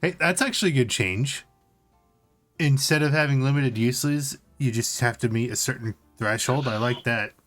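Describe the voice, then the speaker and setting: A man's voice: medium pitch, normal speed, a bit bright, faint music behind. A man co-hosting a casual tabletop gaming discussion, informally reading out notes and commenting on them. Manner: informal, reads notes aloud then comments. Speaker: podcast co-host.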